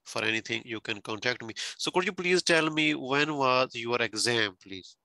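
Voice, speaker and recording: A man hosting an informal online interview as a tutor, talking haltingly with many fillers. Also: mid-range voice, lively intonation, bright, forceful tone, dry recording. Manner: hesitant, full of "you know" fillers. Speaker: host and OET tutor.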